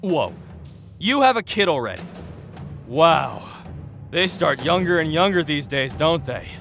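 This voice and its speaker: Man playing a flirty hero, lively and bright. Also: on the high side, a little slow, creaky, faint music behind, exaggerated voice. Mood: teasing. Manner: breezy, teasing, chatty. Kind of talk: audio drama acting.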